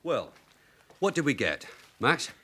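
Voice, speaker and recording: A man acting a shrewd veteran detective sergeant in a radio drama, with a brisk, clipped, questioning manner. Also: medium pitch, sing-song, bright, forceful tone, a little hiss or hum, exaggerated voice. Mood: businesslike, dry.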